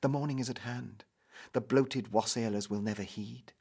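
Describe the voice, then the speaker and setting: A man playing a tender, ardent young knightly lover in a dramatic recital of romantic poetry, slow and impassioned. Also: medium pitch, steady tone, creaky, darkish tone, husky. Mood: urgent. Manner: slow, impassioned, swelling and falling to whispers.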